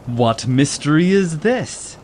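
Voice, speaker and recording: An actor playing a boisterous, teasing Spanish caballero in a dramatized audiobook, theatrical and full of exclamation. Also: male voice, a little high, expressive, slow, a little mellow, slight background noise. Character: boisterous, mocking Spanish caballero, always ready to laugh. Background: ambient sea sound bed.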